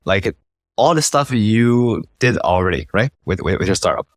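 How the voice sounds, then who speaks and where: A man's voice: on the low side, expressive, moderate pace, no room sound, clean studio sound. A man hosting an interview in a casual, informal way, sprinkling in "like" and checking with tag questions. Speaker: interview host. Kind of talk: casual chat.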